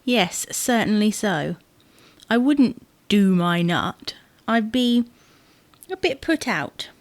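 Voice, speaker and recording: A woman's voice: mid-range voice, lively intonation, takes it slowly, dry recording. A woman playing a fussy, exasperated wife who bickers insistently in a domestic audio sitcom. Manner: bickering, insistent, theatrically indignant. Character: fussy, exasperated wife fretting over wedding clothes.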